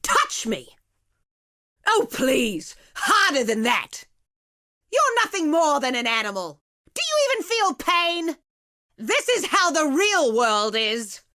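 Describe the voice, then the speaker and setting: A woman's voice: high-pitched, pushed and bright, full character voice. An actress playing an arrogant, ruthless female villain in video game combat, taunting and imperious. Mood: scornful.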